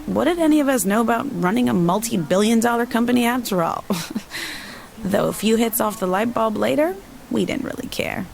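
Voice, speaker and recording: Gravelly female voice, cocky young party-girl gang member, slangy game monologue, noisy capture. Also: fairly high pitch. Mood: carefree, boastful. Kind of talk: scripted video game audio log monologue.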